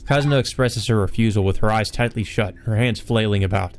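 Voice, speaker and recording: A man's voice: on the low side, a little fast. A man reading a visual novel aloud as a dramatic narrator for a let's play.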